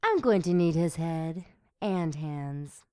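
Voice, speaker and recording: Slow, seductive low-voiced demoness. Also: female voice, lively intonation, a little mellow, smooth. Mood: coldly taunting. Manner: slow, mocking, menacing. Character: taunting seductive female demon with a tail, coldly murderous. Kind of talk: audio drama acting.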